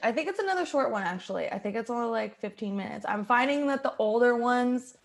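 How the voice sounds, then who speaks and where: A woman's voice: fairly high pitch, expressive, fast. A woman hosting a comedy podcast in a casual, chatty style. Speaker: podcast host.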